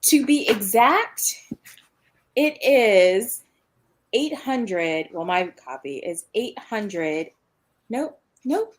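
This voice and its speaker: A woman's voice: mid-range voice, animated, drawn-out words. A woman chatting casually on a livestream with a dry, wry manner.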